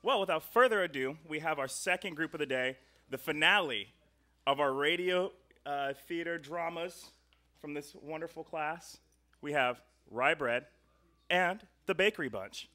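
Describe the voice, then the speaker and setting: A young man hosts live from a studio stage with a bright, slightly high voice. He rambles casually and jokes along, talking straight into a stand microphone. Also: moderate pace. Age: young adult. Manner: chatty, rambling. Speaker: host. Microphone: close stand microphone.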